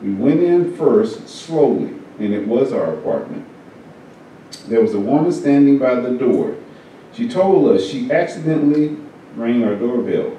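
A man reading a first-person story aloud at a church service, in a measured, unhurried way. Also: mid-range voice, lively intonation, mellow, a little hiss or hum. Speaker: service reader. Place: church meeting room. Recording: very echoey hall, mic far off.

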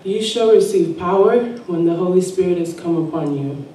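A woman reading a spiritual text aloud at a church service, slow and measured. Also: fairly low pitch, warm tone, slight background noise. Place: church sanctuary. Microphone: distant room mic. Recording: echoey sanctuary from far off.

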